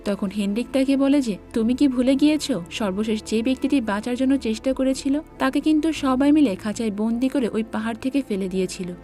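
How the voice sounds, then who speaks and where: Female recap narrator, mellow and slightly high, explanatory scripted storytelling over background music. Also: brisk, hardly any pauses.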